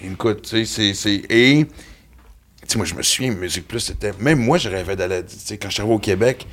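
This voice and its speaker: A man hosts a casual interview. He speaks quickly in a slightly low voice, rambling enthusiastically through his questions. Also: fairly bright tone. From born in Quebec, raised in western Canada. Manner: rambling, enthusiastic, many fillers. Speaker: podcast host.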